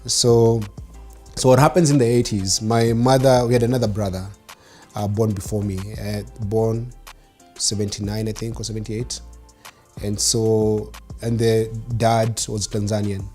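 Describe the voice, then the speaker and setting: A man's voice: lowish voice, flat delivery, a little slow, a little mellow, soft background music. Kenyan man in his forties telling stories. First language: Swahili. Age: 40s. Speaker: interview guest. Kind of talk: telling life story, conversational.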